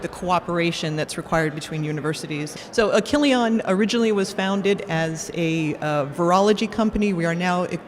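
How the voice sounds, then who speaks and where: A woman, a biotech executive, describing her company in a measured, formal way on a panel. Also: fairly low pitch. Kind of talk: panel introduction. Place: conference hall. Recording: long hall reverb, faint room noise.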